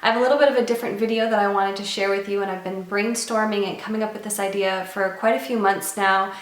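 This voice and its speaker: A woman's voice: mid-range voice, fairly even intonation, fast, reverberant. Chatty woman, nasal from a cold. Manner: chatty and earnest, with light laughs. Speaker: beauty vlogger. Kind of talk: casual chat to camera.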